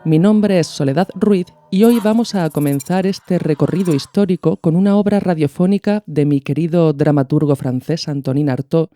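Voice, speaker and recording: A woman podcast host with a soft, dark-toned voice. She explains in measured, didactic phrases from a script, with faint music behind her. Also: low-pitched.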